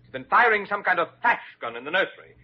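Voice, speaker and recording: Prissy doctor, high-pitched, husky edge, precise, indignant, vintage radio acting, dull recording. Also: male voice, very animated, quick pace, full character voice. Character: fussy, officious, indignant male hospital doctor. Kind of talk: 1940s radio drama acting.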